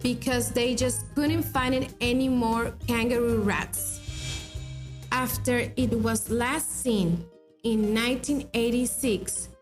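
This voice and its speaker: A woman's voice: fairly high pitch, steady tone. Woman explaining. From Mexico. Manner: informative, fast when reciting titles. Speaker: conservation officer. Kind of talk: interview explanation. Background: background music.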